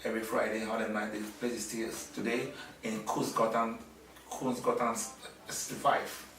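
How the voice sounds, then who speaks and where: A middle-aged man from Nigeria, answering interview questions in a halting way with many fillers and restarts. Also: fairly low pitch, little pitch movement, a bit bright, slight background noise. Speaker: interviewee, businessman. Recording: echoey room, mic at a distance.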